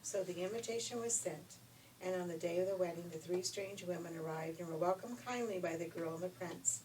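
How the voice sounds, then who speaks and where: A woman reading a children's story in an expressive, folksy way. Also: fairly low pitch, brisk. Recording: noisy, distant room recording.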